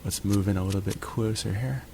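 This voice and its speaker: A man's voice: lowish voice, fairly quick, heavy background noise. A man hosting his own channel, casually narrating a match from home in a laid-back, jokey, chatty way. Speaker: gaming channel host. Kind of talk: relaxed gameplay commentary.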